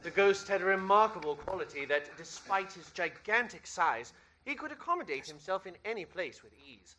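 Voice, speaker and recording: High, bright male radio-play narrator. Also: lively intonation, normal speed, a little hiss or hum. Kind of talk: theatrical scripted storytelling in a radio play.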